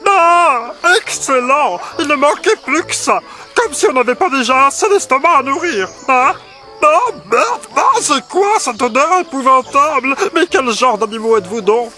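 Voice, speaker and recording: A woman's voice: sits high, lively intonation, moderate pace, sharp tone, faint music behind. A woman playing a grumpy, sarcastic old farm rooster in a cartoon dub, with a scolding manner. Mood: sarcastic grumbling.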